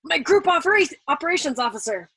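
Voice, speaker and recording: A woman's voice: high-pitched, sing-song, quick pace, bright, forceful tone, pitched above the performer's own voice, heavily acted. A woman voicing an anxious, snappish male colonel in a storybook, with a clipped military manner. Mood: nervous, obedient. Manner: stammering to superiors, snappish, clipped. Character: male colonel, anxious underling who snaps at juniors.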